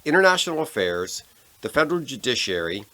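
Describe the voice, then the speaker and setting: A man's voice: medium pitch, sing-song, fairly quick, bright, forceful tone, poor audio. An American man co-hosting a podcast, explaining and discussing politics in a casual, conversational way. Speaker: podcast co-host.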